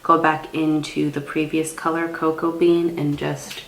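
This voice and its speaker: A woman's voice: deep voice, level tone, a little slow. A young woman with a slightly congested voice from a cold, chatting casually as she gives a makeup tutorial. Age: young adult. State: has a cold. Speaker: makeup artist and beauty vlogger. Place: small indoor room. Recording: roomy echo.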